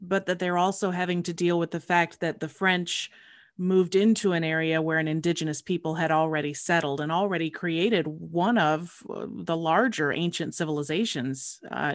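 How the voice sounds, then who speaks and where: A woman hosting a public radio interview, speaking in a measured, composed way. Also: fairly low pitch, no echo, studio quality.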